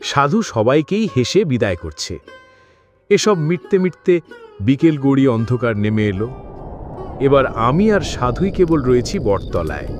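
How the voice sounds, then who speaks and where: A man narrating a scripted Bengali ghost story in an expressive, dramatic storytelling manner. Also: medium pitch, measured pace, soft background music.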